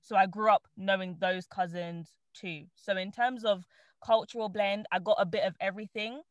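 A woman's voice: medium pitch, moderate pace, no echo. Chatty woman in a dry room. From born in Trinidad, raised in the UK. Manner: chatty, animated, laughs often, many fillers. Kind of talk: casual panel chat.

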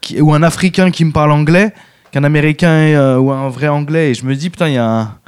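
A man's voice: on the high side, steady tone, fast, smooth. A man from France hosting a casual chat, talking loosely and in slang. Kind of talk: casual conversation.

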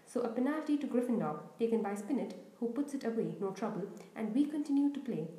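Woman playing a cheeky young commentator, loud excited play-by-play, fairly high voice, echoey room. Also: fast talker, natural voice. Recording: roomy echo.

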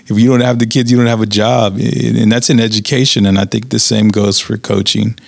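A man's voice: fairly low pitch, steady tone, brisk, vocal fry, no echo. A man hosting an interview with coaches, talking casually and energetically with many "uh" fillers. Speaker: podcast host interviewing coaches.

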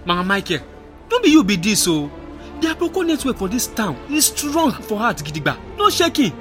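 A man's voice: sits high, sing-song, fairly quick, fairly bright tone, faint music behind. A man acting a scornful, stubborn older village man in a radio drama, with a Nigerian accent and an emphatic, scoffing delivery. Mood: defensive. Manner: emphatic, scoffing, with repeated exclamations.

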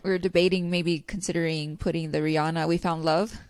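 A woman hosting a podcast in casual chat, quick and chatty with frequent laughs, a little stuffy today. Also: deep voice, level tone, a little mellow, clear, resonant tone, dry recording, clean studio sound. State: slightly stuffy nose. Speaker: podcast host.

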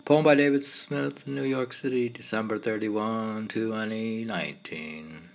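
A man reading his own meditative poems in a halting way, now and then repeating or stumbling over words. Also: lowish voice, gravelly. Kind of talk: slow meditative poetry reading. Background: piano and keyboard music.

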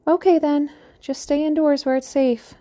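A woman's voice: sits high, steady tone, normal speed, dark tone, pitched above the performer's own voice, close to an everyday voice. A woman voicing a matronly, teasing small-town postmaster with a Midwestern accent and a chatty, joking manner.

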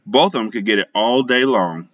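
A man voicing a loud, outspoken woman friend who curses freely. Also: fairly low pitch, normal speed. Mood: brassy. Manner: brassy, cursing. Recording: slightly muffled.

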